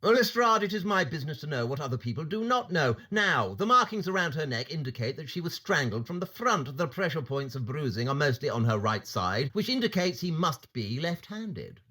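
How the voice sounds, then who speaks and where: An actor playing a brilliant, arrogant, condescending detective in an audio drama, with a clipped, sarcastic, lecturing manner. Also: male voice, a little high, very animated, brisk, few pauses, sharp tone, full character voice. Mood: coolly analytical.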